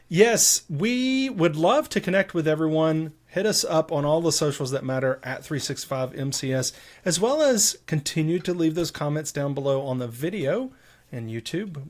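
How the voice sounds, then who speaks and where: A man's voice: on the high side, animated, moderate pace. A man hosting a casual tech podcast, upbeat and chatty, teasing his co-host.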